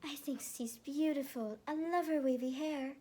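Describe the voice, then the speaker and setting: Woman acting a high, gushing, cooing mother. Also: normal speed, mellow, a little hiss or hum, lightly acted. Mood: admiring delight. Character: gushing, excitable shapeshifter mother, sweet and cooing. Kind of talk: dramatized fan fiction reading.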